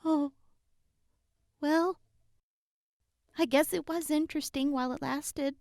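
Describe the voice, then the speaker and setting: A woman playing a high, creaky raider's daughter. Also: fairly even intonation, slow, a little mellow, dry recording, clean studio sound, exaggerated voice. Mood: resigned. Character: resigned young raider's daughter.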